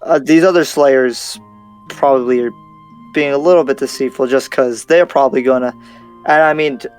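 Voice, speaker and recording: A man's voice: mid-range voice, normal speed, clean tone, faint music behind, close to an everyday voice, rough recording. A man playing a frank, level-headed demon slayer in an improvised anime game roleplay, talking casually and reasoning as he goes. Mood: cautioning, frank. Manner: casual, conversational, hedging as he reasons.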